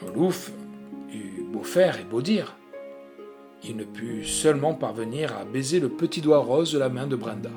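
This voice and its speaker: A man narrates a gothic tale over prominent guitar music. His mid-pitched voice is measured and dramatic, shaped like an expressive audiobook reading with swells and hushed drops. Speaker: audiobook reader.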